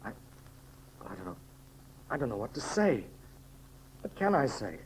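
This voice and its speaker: Anguished radio hero, noisy old recording. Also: male voice, medium pitch, very animated. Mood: grieving, halting. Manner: earnest, halting, melodramatic. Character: noble, anguished young adventurer hero turned emperor. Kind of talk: 1930s radio serial acting.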